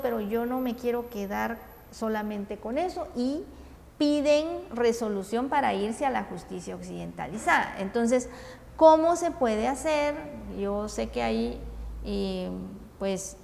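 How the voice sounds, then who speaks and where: Guatemalan woman speaker, higher-pitched voice, unhurried and hesitant, in a reverberant hall. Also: darkish tone. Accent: Guatemalan Spanish. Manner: measured, deliberate, frequent hesitations. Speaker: conference speaker. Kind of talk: formal conference talk. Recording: long reverb, faint ambient noise.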